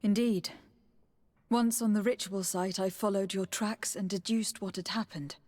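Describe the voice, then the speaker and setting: An actress playing a protective, level-headed young noblewoman adventurer in a fantasy game, with a breathy voice and earnest, direct delivery. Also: mid-range voice, fairly even intonation, unhurried, darkish tone, lightly acted. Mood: concerned, questioning. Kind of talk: dramatic fantasy game acting.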